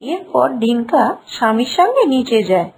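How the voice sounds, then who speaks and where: A woman's voice: on the high side, sing-song, moderate pace, darkish tone, slight background noise, poor audio. A woman narrator reading a scripted fairy tale.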